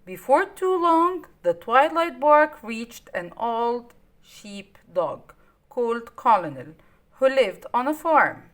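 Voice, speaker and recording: A woman reads a children's story aloud. Her voice sits fairly high and moves in lively swings. She addresses young listeners simply, stressing the dramatic words. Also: takes it slowly. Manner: simple, child-directed delivery with dramatic emphasis.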